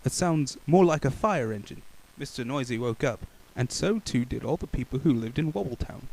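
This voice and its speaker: A man reading a children's picture book aloud in a playful, expressive way. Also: medium pitch, poor audio. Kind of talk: animated storybook read-aloud.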